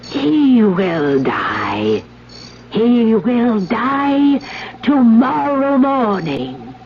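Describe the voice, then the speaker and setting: Very slow, grave woman plotting darkly. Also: a little high, very animated, full character voice. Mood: ominous certainty. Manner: slow, deliberate, questioning. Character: grave woman coolly foretelling and plotting a death. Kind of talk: 1970s radio drama acting. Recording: dull old radio recording with noise.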